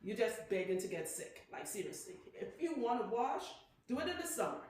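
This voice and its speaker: A woman's voice: on the high side, very animated, fairly quick, darkish tone. Trinidadian woman talking in echoey salon. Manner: chatty, teasing, lots of "right?" and "okay?". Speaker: loctician. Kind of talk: salon demonstration talk. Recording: very echoey room, faint noise.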